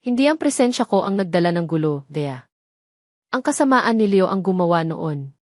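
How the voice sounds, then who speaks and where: A woman voicing a sincere, regretful man in love in a romantic audio drama, earnest and soft-spoken as he vows devotion. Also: on the low side, big pitch swings, normal speed, no room sound, studio quality.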